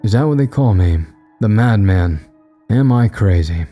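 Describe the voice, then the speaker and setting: Man voicing a deep, menacing shadow demon. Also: lively intonation, mellow, soft background music. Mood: bored, threatening. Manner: slow, bored, deliberate emphasis. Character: menacing shadow demon in a madman's shape, coldly mocking. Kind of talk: audio storybook character voice.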